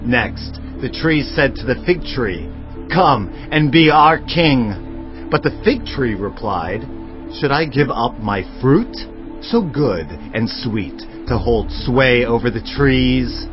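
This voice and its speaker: Jotham, a solemn indignant orator over music. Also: male voice, mid-range voice, lively intonation, slow pace. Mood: measured storytelling. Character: Jotham, indignant youngest son reproaching a crowd with a parable. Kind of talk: dramatized Bible audio acting. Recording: dull lo-fi sound, music behind.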